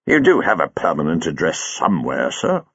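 A man's voice: extremely low pitch, wildly swooping pitch, moderate pace, creaky, husky, no room sound, dull audio, pitched below the performer's own voice, heavily acted. A man voicing a patient, stolid Victorian police sergeant. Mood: dogged, patient.

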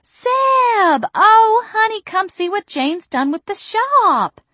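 A woman's voice: high voice, very animated, a little slow, fairly bright tone, no room sound, voice pushed up, exaggerated voice. A woman voicing an overbearing middle-aged mother who coos and gushes over her daughter in a storybook reading. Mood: excited. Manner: cooing, effusive, meddling.

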